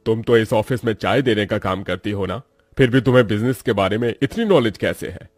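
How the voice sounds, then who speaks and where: A man's voice: fairly low pitch, a little fast, darkish tone, dry recording, studio quality, faint music behind, close to an everyday voice. A man voicing a stern but fair company chairman in a cartoon moral story, formal and authoritative. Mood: impressed, approving.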